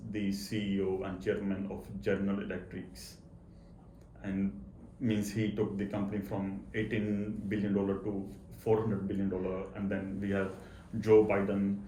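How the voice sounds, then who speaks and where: A man with a stutter speaking haltingly and earnestly as an interview guest about his own experience. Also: fairly low pitch, dark tone. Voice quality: stutter, with repeated words and blocks. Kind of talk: personal interview talk. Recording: echoey room, faint background noise.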